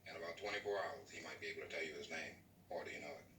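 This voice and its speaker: A sardonic, world-weary American doctor, talks fast in a low, forceful voice. The 1960s TV drama sound is echoey and distant. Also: male voice. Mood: clinical, brisk. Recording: echoey, distant old TV audio, faint noise.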